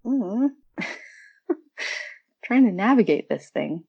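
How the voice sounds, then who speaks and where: A woman's voice: medium pitch, animated, extremely slow, creaky, a little mellow, husky, no room sound, dull audio. A woman chatting casually and enthusiastically about literature as a podcast guest.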